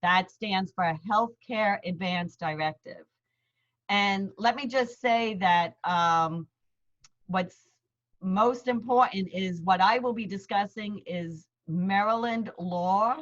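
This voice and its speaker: A woman's voice: medium pitch, slow. A middle-aged woman with a New York accent from Queens, an attorney explaining legal terms step by step in a friendly, plain-spoken seminar over a video call. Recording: slightly dull lo-fi video call audio.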